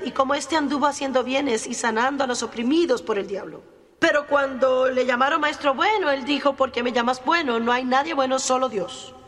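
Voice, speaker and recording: A woman's voice: sits high, fairly bright tone, slight background noise. A woman preacher giving a sermon in an emphatic, plain-spoken and self-deprecating manner. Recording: long hall reverb.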